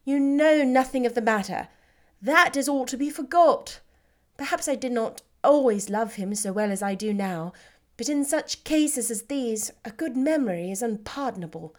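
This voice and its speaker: A woman voicing a lively, witty young woman. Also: a little high, moderate pace, close to an everyday voice. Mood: earnest.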